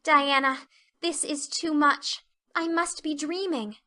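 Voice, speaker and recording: A woman's voice: high-pitched, steady tone, slow pace, pushed and bright, a little hiss or hum, voice pushed up, full character voice. A woman voicing a dreamy thirteen-year-old girl, effusive, romantic and theatrical, in an audio drama. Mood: overwhelmed.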